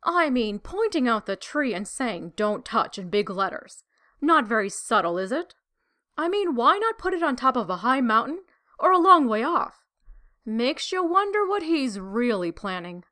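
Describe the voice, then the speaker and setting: Crowley is a sly male demon, voiced by a woman reading aloud, and he mocks and needles with bright, swooping questions. His voice leaps high and low and has an odd, altered timbre. He sounds teasing and sharp in this storybook reading. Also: big pitch swings, normal speed. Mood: wry. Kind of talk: audiobook reading.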